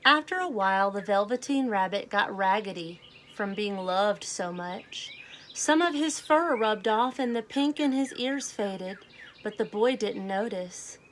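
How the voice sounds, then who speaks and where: Slow-paced woman reading to children. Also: medium pitch, lively intonation, a bit bright, slight background noise. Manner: deliberate, simple phrasing addressed to young children. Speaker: children's read-aloud host. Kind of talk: storybook read-aloud to children.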